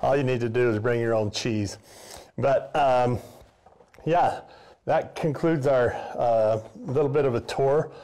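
A man's voice: mid-range voice, measured pace, dark tone. An older man, a former custodian, giving a casual guided tour of an old school basement in a rambling, folksy way with many fillers and restarts. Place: basement boiler room of an old school building.

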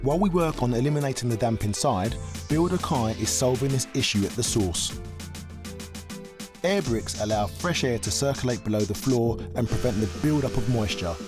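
A man presenting, giving measured, explanatory voice-over narration for a home renovation programme. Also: mid-range voice, expressive. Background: background music.

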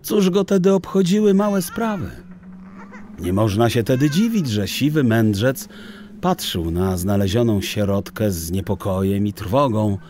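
A man narrating a children's audiobook in a theatrical, deliberate storytelling style. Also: fairly low pitch, lively intonation, darkish tone, slight background noise.

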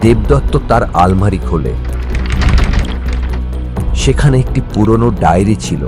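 A man's voice: fairly low pitch, animated, measured pace. A man narrating a scripted horror story for a cartoon. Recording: loud music over the voice. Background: loud music.

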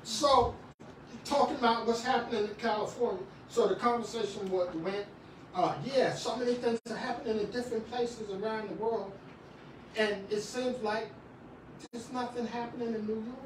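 A middle-aged man preaching a sermon in a small church, swinging from shouts to quiet talk with many restarts. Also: high-pitched, moderate pace, a little hiss or hum. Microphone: far camera. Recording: echoey room, far from the camera.

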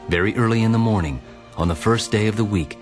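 Very deep-voiced male scripture narrator. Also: quick pace. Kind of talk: solemn dramatized scripture narration. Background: music.

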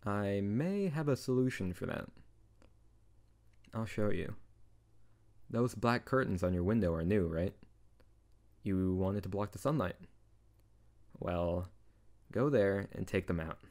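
Deep-voiced, tender, teasing young-lover wizard acted by a man. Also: expressive, unhurried, pauses often, darkish tone. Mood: calm, reassuring. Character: tsundere male wizard, teasing, tender best friend turned lover. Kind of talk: intimate ASMR roleplay audio drama.

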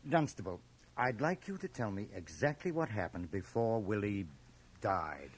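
Low-voiced, authoritative town doctor. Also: male voice, big pitch swings, moderate pace, breathy edge. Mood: firm. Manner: authoritative, matter-of-fact. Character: brisk, authoritative small-town male doctor. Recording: cassette-sourced radio drama, faint hiss.

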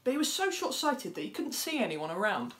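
Young adult woman, children's storyteller, expressive read-aloud with very animated intonation. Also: on the high side, brisk. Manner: expressive, acts out dialogue. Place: plain indoor room at home.

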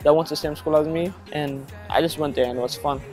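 A young man from Ethiopia talks about his high school years, filling his sentences with "like". His voice sits a bit high, he is recorded outdoors, and soft music plays underneath. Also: quick pace. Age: young adult. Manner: relaxed, with fillers like "like". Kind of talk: casual testimonial. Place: outdoors by a brick building.

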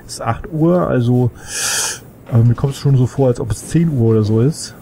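Chatty German gamer with a cold. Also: male voice, medium pitch, moderate pace, vocal fry, mellow, a little hiss or hum. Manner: rambling, chatty, thinks aloud. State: has a cold. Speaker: let's play gamer. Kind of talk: casual gameplay commentary.

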